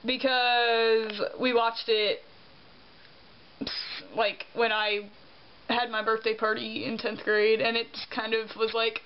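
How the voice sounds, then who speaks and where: A woman's voice: on the high side, level tone, slow pace. Tired, congested young woman vlogging. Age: young adult. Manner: rambling, chatty, self-deprecating. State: congested with a sore throat. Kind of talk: casual vlog chat. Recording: dull lo-fi sound with faint hiss.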